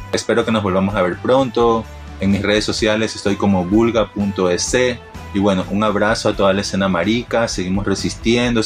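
A man from Ecuador with a coastal Ecuadorian Spanish accent, a DJ and producer giving an earnest, defiant recorded greeting. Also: fairly low pitch. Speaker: DJ and music producer.

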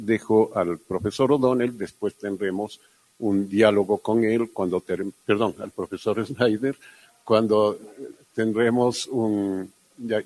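A man formally introducing a keynote speaker at an academic conference, pausing often with fillers. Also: on the low side, darkish tone, rough recording. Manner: hesitant, frequent fillers and restarts.

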